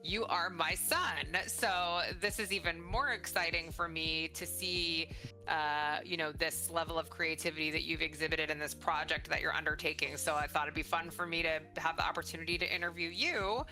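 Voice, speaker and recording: A woman's voice: low-pitched, steady tone, normal speed, pushed and bright, poor audio. A middle-aged woman hosting a casual conversational interview in an enthusiastic, encouraging way. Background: faint music.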